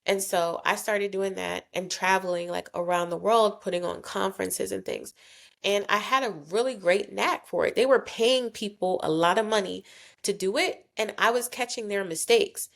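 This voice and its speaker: A woman's voice: mid-range voice, gravelly. A woman in her thirties from South Jersey, a guest talking candidly about her career in a casual podcast interview, often acting out what others said to her. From South Jersey, USA. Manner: candid and chatty, acts out quoted voices. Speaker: guest, nonprofit founder.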